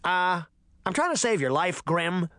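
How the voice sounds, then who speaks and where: A cocky young trickster boy, put on by a male narrator, speaks in a raised, lively voice. He is brash, brave and taunting, a storybook fairy hero. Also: high-pitched, measured pace, dry recording, studio quality, pitched above the performer's own voice, heavily acted. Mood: flustered.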